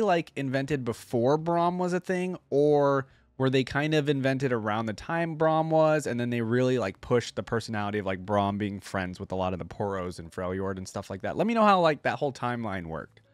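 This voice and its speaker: Animated man doing casual reaction commentary. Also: mid-range voice, brisk, few pauses, no echo. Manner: enthusiastic, informal. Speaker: reaction video host.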